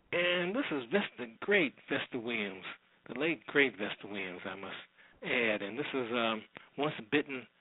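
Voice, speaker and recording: A man's voice: mid-range voice, no echo. A man hosting a radio show in casual, informal chat, rambling along with asides. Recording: very muffled, phone-like narrow sound.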